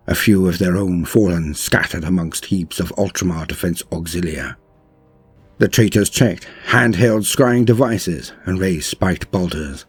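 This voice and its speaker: A man's voice: low voice, a little mellow, slightly rough, no echo. A man narrating a scripted war story in a grave, theatrical storytelling manner. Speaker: narrator of fantasy war lore. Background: music.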